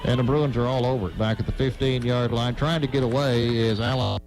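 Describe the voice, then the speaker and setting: A man's voice: medium pitch, steady tone, brisk, a little mellow, slight background noise, poor audio. A man doing live radio play-by-play commentary of a football game, broadcast from a stadium. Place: outdoor high school football stadium broadcast.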